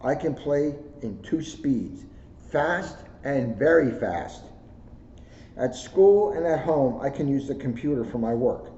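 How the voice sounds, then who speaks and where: Male police officer, storybook read-aloud. Soft-edged mellow tone, average pitch, jokey delivery, echoey room with faint noise. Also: lively intonation, takes it slowly. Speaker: police officer reading a children's book aloud. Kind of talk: children's book read-aloud with casual asides. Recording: roomy echo, muffled audio, faint noise.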